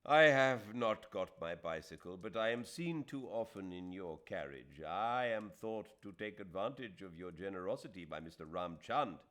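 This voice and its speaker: A man voicing a moody, proud and sensitive Indian gentleman in an audiobook, with emphatic, fretful delivery. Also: low voice, expressive, moderate pace, few pauses, voice pushed up. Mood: forced cheer.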